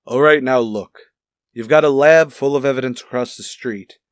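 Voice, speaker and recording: An actor plays a male police captain who pushes the detectives hard. The voice sits at a middle pitch in a dry recording. He is firm, clipped and commanding, in radio crime drama style. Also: normal speed, no room sound, natural voice. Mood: stern, urging. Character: gruffly demanding male police captain pushing his detectives.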